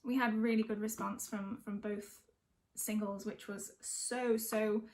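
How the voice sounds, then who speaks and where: A woman's voice: medium pitch, level tone, a little slow, a little mellow. A young woman from North West England, a singer-songwriter, chatting casually between songs in a hesitant, reflective, rambling way. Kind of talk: casual chat between songs at an online gig.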